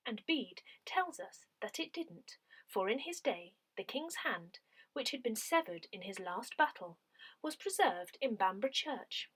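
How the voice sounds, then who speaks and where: A woman reading a community newsletter aloud from a script, in measured, clearly phrased sentences. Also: mid-range voice, fairly bright tone. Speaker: newsletter reader.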